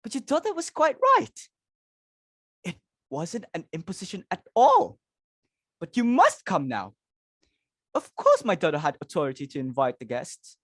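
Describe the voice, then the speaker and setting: A woman's voice: on the low side, wildly swooping pitch, moderate pace, long pauses, no room sound, studio quality. A woman playing a flustered, imperious middle-aged society hostess and mother in a stage comedy reading, dramatic and exclamatory, repeating herself for emphasis. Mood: gushing, insistent.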